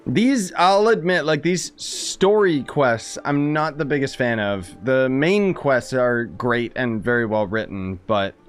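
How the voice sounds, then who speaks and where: A man's voice: medium pitch, animated, slow, few pauses, faint music behind. A young man giving casual, slangy live commentary as he streams a game. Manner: slangy, reactive, chatty.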